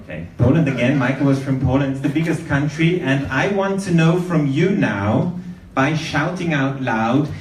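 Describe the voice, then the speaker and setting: A man from Austria hosting a live event, cheerfully rallying the audience with shouted questions. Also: medium pitch, normal speed. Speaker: event organizer and host. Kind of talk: upbeat crowd-work introduction. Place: large event venue indoors. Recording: echoey hall, distant mic, faint room noise.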